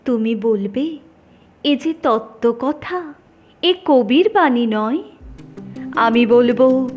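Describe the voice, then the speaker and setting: A woman reciting a poem in a dramatic, expressive style, with measured, deliberate phrasing and drawn-out pauses. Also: on the high side. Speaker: poetry reciter. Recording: dull, band-limited sound under music. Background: instrumental music.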